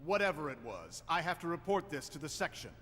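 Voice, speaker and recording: Game cutscene part: stern male official, formal, disapproving, pitched a little high, heavy trailing reverb. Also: very animated, fairly quick, a bit bright, a little hiss or hum. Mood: reproachful. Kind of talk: scripted game cutscene dialogue. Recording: long hall reverb on voice.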